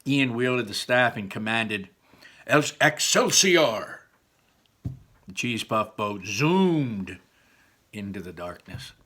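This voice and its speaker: Male read-aloud storyteller, bright forceful voice, expressive, drops into chatty explanations for young listeners. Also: lowish voice, slow pace. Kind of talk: animated children's book read-aloud with chatty asides.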